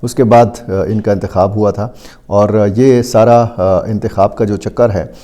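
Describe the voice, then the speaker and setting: Mellow, halting Pakistani male news commentator. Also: lowish voice, fairly even intonation. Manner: halting, deliberate, with frequent drawn-out hesitation sounds. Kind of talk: unscripted news analysis, explaining to viewers.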